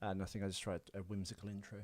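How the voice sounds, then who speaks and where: A man's voice: sits low, flat delivery, rapid-fire, darkish tone. A man co-hosting a casual movie podcast, bantering with dry, teasing remarks and some swearing. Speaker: podcast co-host.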